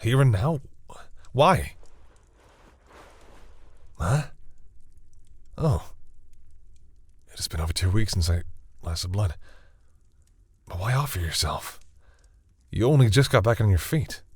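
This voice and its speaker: A man's voice: fairly low pitch, expressive, takes it slowly, pauses often, slightly rough, no room sound, exaggerated voice. A man playing a shy, protective vampire boyfriend in an intimate audio roleplay, hesitant and stammering, easily embarrassed. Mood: surprised. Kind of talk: intimate audio roleplay, acted one-sided dialogue.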